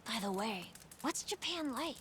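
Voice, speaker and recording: A woman's voice: on the high side, lively intonation, measured pace, a bit bright, slight background noise. A woman voicing a plucky, curious island child in a video game, offhand and earnest with a youthful, casual delivery. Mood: eager, wistful. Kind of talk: video game cutscene acting. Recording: music clearly behind the dialogue.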